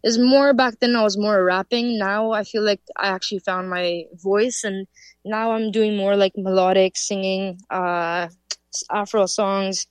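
A woman's voice: medium pitch, steady tone, measured pace, smooth, no echo. A woman from Canada, a musician answering interview questions in a loose, casual way full of fillers. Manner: loose, filler-heavy, with frequent "like". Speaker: musician guest.

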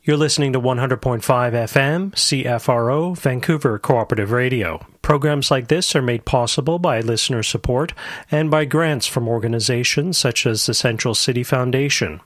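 A man reading a scripted radio station identification in an even delivery. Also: medium pitch, moderate pace, no room sound, studio quality. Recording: announcement over guitar music.

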